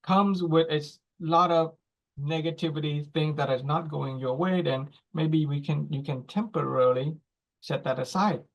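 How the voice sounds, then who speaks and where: A group facilitator speaking slowly and deliberately, posing reflective questions with pauses between them. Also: mid-range voice. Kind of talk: guided reflective talk. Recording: dull lo-fi recording.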